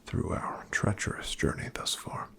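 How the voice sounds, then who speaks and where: A man in an ASMR roleplay voicing a calm, careful paladin travel companion, softly whispering to the listener. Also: normal speed, lightly acted. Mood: calm hushed. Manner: soft, gentle whispering to the listener.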